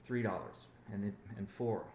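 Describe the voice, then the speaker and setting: Low-voiced man on an echoey conference call. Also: normal speed, darkish tone, slight background noise. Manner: rambling, self-correcting, many restarts. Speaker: company founder on a conference call. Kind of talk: business update on a conference call.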